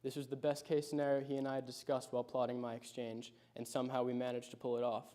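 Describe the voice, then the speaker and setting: A man's voice: medium pitch, monotone, dark tone. Reflective teenage boy reading a speech. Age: late teens. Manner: reflective, measured storytelling. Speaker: high school senior giving a speech. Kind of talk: prepared personal speech.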